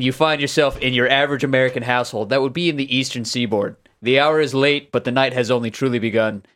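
A man's voice: medium pitch, fairly bright tone. A man co-hosting a podcast, chatting casually and then narrating a story in a grandiose, dramatic manner. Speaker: podcast host.

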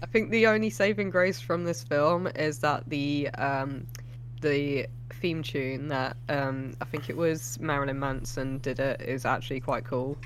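A man with a voice a little higher than average co-hosts a movie discussion. He talks casually, with a wry edge to his comments. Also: fairly even intonation, measured pace, slight background noise. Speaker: co-host. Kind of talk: casual movie reaction chat.

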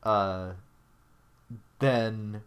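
A man's voice: on the low side, steady tone, drawn-out words, a bit bright, smooth. A man co-hosting a podcast in casual chat, rambling with lots of fillers and swearing freely. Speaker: podcast co-host.

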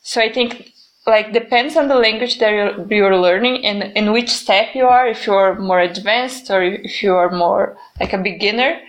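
A woman's voice: medium pitch, moderate pace, poor audio. A young woman with a Brazilian Portuguese accent, chatting casually in English, her second language, with lots of "like". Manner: chatty, fills with "like". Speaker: conversation partner. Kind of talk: casual chat.

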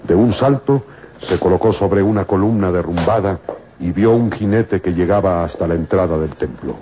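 A man's voice: low voice, normal speed, noisy background. A man narrating a scripted adventure serial in a dramatic, old-time radio style. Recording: muffled old radio recording. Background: music.

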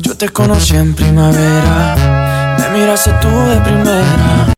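A man's voice: high voice, takes it slowly. A man singing a pop ballad from a recorded song. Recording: song with loud music. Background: loud music.

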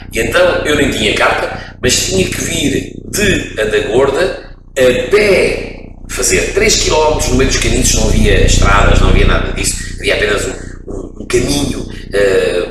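A middle-aged radio man with a European Portuguese accent tells long stories in a relaxed interview, pausing often to gather his thoughts. His voice bounces around a very echoey room and sounds set back from the microphone. A clear hum of background noise sits under his speech. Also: mid-range voice, far from the mic. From France. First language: French. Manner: expansive storyteller, frequent hesitations. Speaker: radio broadcaster, interview guest. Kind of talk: casual interview, reminiscing.

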